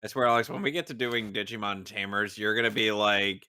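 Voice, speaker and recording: Bright, forceful man chatting. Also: fairly low pitch, steady tone, a little fast, clean tone. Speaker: show host. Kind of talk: casual watch-along commentary chat.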